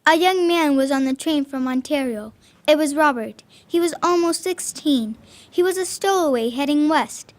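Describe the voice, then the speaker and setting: A woman's voice: sits high, normal speed, lightly acted. A young female reading scripted narration as a storyteller in a school radio drama. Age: child or young performer.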